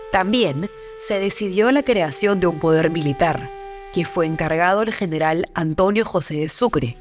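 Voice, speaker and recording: History documentary narrator, scripted read, soft music bed. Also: medium pitch.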